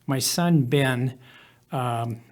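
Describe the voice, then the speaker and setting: A middle-aged or older man, an interview guest, telling a personal story in measured, halting phrases. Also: mid-range voice, darkish tone. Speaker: podcast guest, author.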